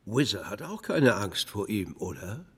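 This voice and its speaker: A man playing a terse, dry small-town club regular in an audio drama, matter-of-fact, with a gravelly, husky voice. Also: on the low side, very animated, unhurried, a bit bright, full character voice. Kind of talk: German audio drama dialogue.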